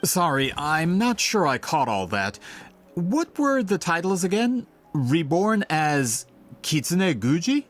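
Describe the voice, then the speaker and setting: A man's voice: on the high side, very animated, takes it slowly. A man voicing a polite, eager merchant in a video game, tactful, courteous and deferential. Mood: polite, puzzled. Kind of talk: video game character dialogue.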